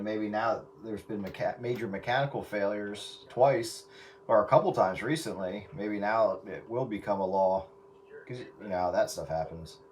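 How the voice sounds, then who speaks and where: A man's voice: on the low side, a little mellow. A man chatting casually as a participant in a radio round-table. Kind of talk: casual chat. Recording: distant mic, heavy radio noise.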